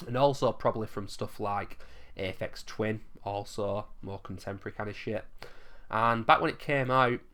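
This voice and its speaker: A man with a hoarse, fading voice, chatting enthusiastically through a countdown of his favourite albums and swearing casually now and then. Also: fairly low pitch, moderate pace, fairly bright tone. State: losing his voice, coughing. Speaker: music vlogger ranking albums.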